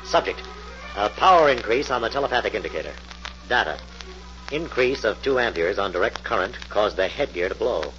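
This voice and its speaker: An actor playing a confident, methodical scientist-sleuth with an American accent and a precise, authoritative manner, in 1940s radio style. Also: male voice, lowish voice, lively intonation, brisk, a bit bright, faint music behind. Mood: businesslike. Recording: muffled, noisy old-time radio recording.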